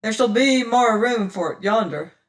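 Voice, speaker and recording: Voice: medium pitch, lively intonation, recorded from a distance, pitched above the performer's own voice, lightly acted. A reader doing a mocking pirate captain. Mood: menacing. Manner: taunting, commanding, drawn-out menace. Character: menacing male pirate captain, cold and mocking. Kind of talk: audiobook character voice.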